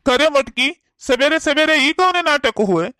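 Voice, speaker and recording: A man's voice: very high-pitched, animated, unhurried, pushed and bright, no room sound, clean studio sound, exaggerated voice. A man playing a bossy, impatient village husband in a cartoon comedy, nagging with an Awadhi accent. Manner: nagging, commanding.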